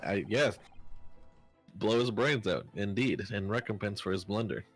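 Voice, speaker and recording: A lofty, eloquent gentleman detective acted by a man with a low voice. He is self-assured and pompous, turning old-fashioned phrases for a game dub, with faint music playing behind him. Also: measured pace, husky. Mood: grave, theatrical. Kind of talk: fan dub acting of a video game.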